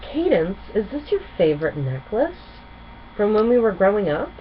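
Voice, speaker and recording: A woman's voice: mid-range voice, big pitch swings, moderate pace, voice pushed up, lightly acted. A woman voicing an earnest, enthusiastic young female pony princess in a storybook reading. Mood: grateful. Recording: muffled home recording with clear noise.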